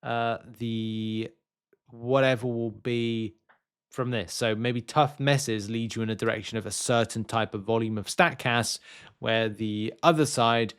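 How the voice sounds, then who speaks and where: A man with a medium-pitched voice hosts a casual gaming news commentary. He thinks aloud in a rambling, hesitant way, moving between reading announcements and offering his own explanations. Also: fairly even intonation, measured pace, a bit bright, clear, resonant tone. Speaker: gaming video host.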